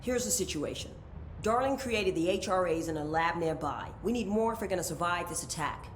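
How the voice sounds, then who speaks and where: A tough, unflappable operations chief, voiced by a woman gives brisk commands. Her voice is low and firm. Her lines ring out in an echoey space over a low rumble. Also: animated, lightly acted. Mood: commanding. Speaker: head of operations.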